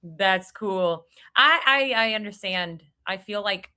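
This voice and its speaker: A woman from Puerto Rico hosts a casual podcast chat. Her voice is bright at a middle pitch. She reacts eagerly and sometimes trips over her own questions. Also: expressive, clear, resonant tone. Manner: eager, quick to react, loses her train of thought. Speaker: podcast host.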